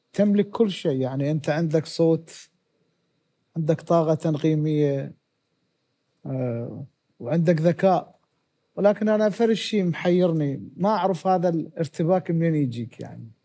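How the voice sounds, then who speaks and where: A man's voice: fairly high pitch, animated, moderate pace, pauses often, dark tone. A man judging a recitation contest, frank and questioning in his remarks. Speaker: competition judge. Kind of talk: spoken critique.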